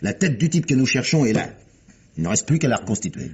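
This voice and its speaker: An actor playing a gruff, colloquial but methodical police investigator in a radio drama, blunt and familiar. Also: male voice, medium pitch, big pitch swings, fast talker. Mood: patient, instructive. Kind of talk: 1950s French radio drama acting. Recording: muffled old radio recording.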